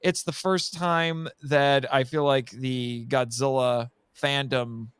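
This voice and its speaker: A man's voice: medium pitch, fairly even intonation, slow pace, a bit bright, clean tone, no room sound, clean studio sound. A man talking casually and enthusiastically about films as a podcast guest, with a jokey streak. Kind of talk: casual film discussion.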